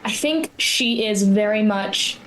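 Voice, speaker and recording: A woman's voice: mid-range voice, takes it slowly, a bit bright, a little hiss or hum, poor audio. A woman playing a tabletop game, improvising narration of her character's inner state, searching for words and rephrasing as she thinks aloud.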